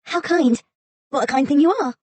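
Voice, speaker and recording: A woman's voice: sits high, expressive, normal speed, a little mellow, no room sound, voice pushed up. A woman voicing a sly fox spirit in a storybook, sweet and coaxing at first, haughty and stern later.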